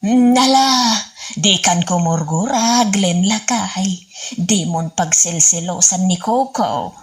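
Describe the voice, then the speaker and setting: A brash, sassy Ilocano wife from a comic radio drama. Her voice sits at a medium pitch, and she teases and scolds. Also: slow. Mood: scolding.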